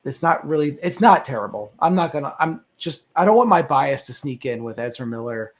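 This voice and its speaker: A man's voice: on the high side, lively intonation, brisk. A man on a movie discussion panel, giving opinions casually and conversationally, often rephrasing himself as he goes.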